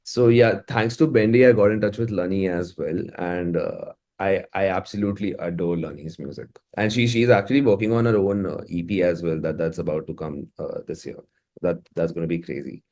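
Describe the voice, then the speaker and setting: A man's voice: sits low, brisk, a little mellow. A man with an Indian accent, chatting casually and effusively as a music producer being interviewed. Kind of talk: casual, conversational interview talk.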